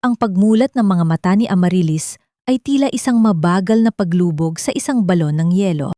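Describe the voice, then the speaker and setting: A woman's voice: medium pitch, darkish tone, no echo. Solemn woman narrating an audio drama, dry sound.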